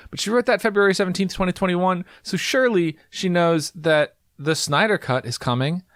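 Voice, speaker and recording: A man co-hosting a podcast, chatting casually and reading film reviews aloud with quick asides and laughs. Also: sits high, a bit bright, no echo, clean studio sound. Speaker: podcast co-host.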